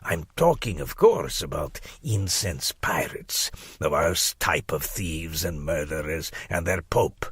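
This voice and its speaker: A man voicing an obsessive crackpot scholar in a dramatic audiobook, husky and breathy, with a pompous, conspiratorial delivery that sinks toward whispers. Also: very deep voice, lively intonation, takes it slowly, few pauses, fairly bright tone, dry recording, clean studio sound, full character voice. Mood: urgent conspiratorial. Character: obsessive crackpot male scholar writing a grave warning letter.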